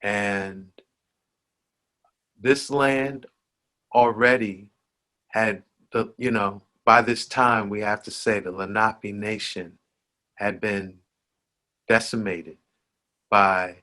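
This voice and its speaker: A man with a lowish voice hosts a relaxed history conversation. He speaks very slowly and unhurriedly. His talk is dotted with "you know" and "uh" as he thinks aloud. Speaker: host.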